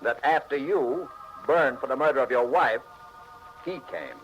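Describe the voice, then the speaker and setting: An actor playing a blunt, sardonic police trooper in a 1940s radio crime drama, talking in a hard-boiled, declarative way. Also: male voice, medium pitch, big pitch swings, unhurried, faint music behind. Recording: dull old radio recording.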